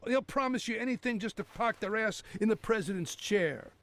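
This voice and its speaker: An actor playing a cynical, grumbling old workman in his sixties with a gruff manner. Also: high voice, moderate pace, fairly bright tone. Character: foul-mouthed cynical old workman, sixty-three, grumbling.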